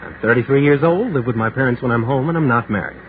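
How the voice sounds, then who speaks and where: A man's voice: medium pitch, fast talker, narrow, tinny audio, slight background noise, lightly acted. An actor playing a mild, friendly office worker in his thirties, polite and easygoing, in 1940s radio style. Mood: friendly, eager. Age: 30s. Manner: polite, easygoing, a little bashful. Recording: thin 1940s radio recording.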